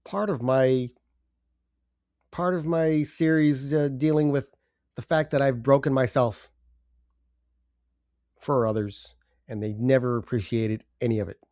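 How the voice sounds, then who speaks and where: Older man, congested, halting and subdued, dark mellow voice, dry sound, confessional monologue. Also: mid-range voice, fairly even intonation, no echo. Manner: halting, subdued, with pauses and fillers. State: congested from a cold. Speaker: podcast host.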